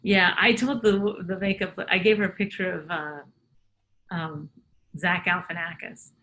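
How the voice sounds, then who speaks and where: A woman's voice: on the low side, fairly even intonation, normal speed, fairly bright tone, slight background noise, poor audio. A woman comedian in a casual interview, telling loose, rambling stories full of fillers. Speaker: comedian guest.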